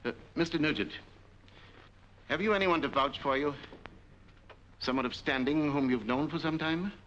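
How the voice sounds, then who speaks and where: An American actor playing a formal, sceptical bank official in a 1940s film noir, probing with official authority. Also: male voice, on the high side, moderate pace, a bit bright, close to an everyday voice. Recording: dull, noisy 1940s film soundtrack.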